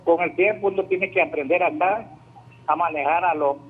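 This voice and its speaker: Male caller, 60s, husky, tinny phone line, chatty and plainspoken. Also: high-pitched. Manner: chatty, plain, a bit halting. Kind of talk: phone-in call, casual storytelling. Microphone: telephone.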